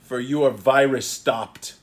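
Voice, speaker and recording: A commanding male magician acted by a man declaims Shakespearean verse. He is solemn and grand, a wronged figure of great power. Each phrase comes slowly and deliberately in a mid-range voice. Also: mid-range voice, fairly even intonation, natural voice. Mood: solemn, stern. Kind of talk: Shakespearean stage soliloquy.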